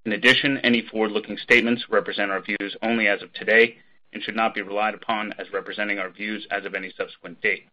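A man's voice: on the low side, level tone, fast. A man reading a formal scripted disclaimer as a company spokesman on an earnings call. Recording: dull, lo-fi conference call audio.

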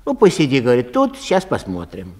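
A man's voice: mid-range voice, huge pitch swings, a little fast, husky. A man playing a polite but unbudging lost-property clerk in a comic story, bureaucratic and colloquial. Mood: brisk. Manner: bureaucratic, colloquial, matter-of-fact. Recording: long hall reverb.

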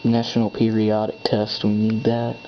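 A man's voice: fairly low pitch, completely flat delivery, normal speed. A man thinking aloud as he sets up a device at his desk, muttering to himself while pressing buttons. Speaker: hobbyist setting up a device. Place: indoors at a desk. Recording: dull lo-fi camera audio with faint hum.

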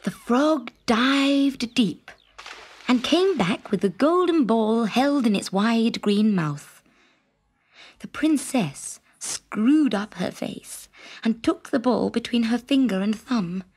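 A woman narrating a scripted children's story in an expressive, dramatic manner with breathy emphasis. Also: on the high side, takes it slowly.

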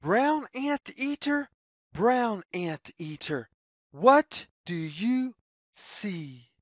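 A woman reading a children's picture book aloud as storyteller, with deliberate, sing-song phrasing. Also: mid-range voice, animated, no echo, clean studio sound.

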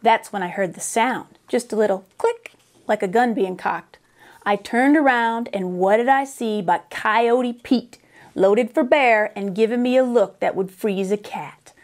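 A middle-aged American woman reading a children's story aloud with expressive, drawling, folksy Western dialect, recorded indoors on a lapel mic. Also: fairly high pitch, unhurried.

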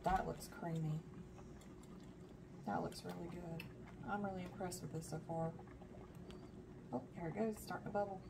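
Gravelly woman cooking at home. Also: lowish voice, fairly even intonation, moderate pace, pauses often. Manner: chatty, self-deprecating, thinking aloud while cooking. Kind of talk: casual cook-along narration. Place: home kitchen at the stove. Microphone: camera overhead, speaker partly off frame. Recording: noisy home kitchen recording.

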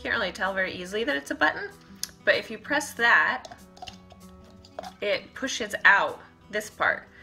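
A woman's voice: mid-range voice, expressive, normal speed, vocal fry, pauses often, sharp tone, faint music behind. A woman in her thirties talking informally to camera as a fitness vlogger, chatty and thinking aloud as she demonstrates things in a home kitchen. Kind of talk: casual chat to camera, product demonstration.

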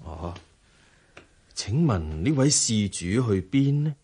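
An actor playing a serene, dignified Buddhist monk in a radio drama, measured and composed, with a Hong Kong Cantonese accent. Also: male voice, fairly low pitch, sing-song, dark tone, breathy edge, heavily acted. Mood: amiable, composed.

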